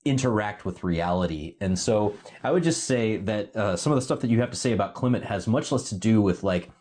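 Low-voiced man musing on a podcast. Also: lively intonation, brisk, darkish tone. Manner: reflective, musing, many fillers. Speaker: podcast co-host. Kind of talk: casual discussion.

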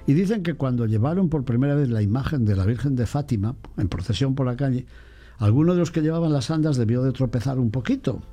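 A priest, chatting about faith in a lively way and mimicking other people's voices. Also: male voice, medium pitch, fast, soft background music. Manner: animated, mimics voices in quotes. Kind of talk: casual chat on faith.

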